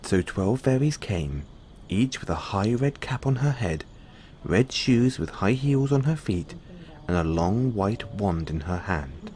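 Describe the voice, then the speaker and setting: A man with a low voice narrates a bedtime fairy tale. His pitch moves expressively through each phrase, and there is faint noise behind him. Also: lively intonation, a little slow, darkish tone. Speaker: storyteller.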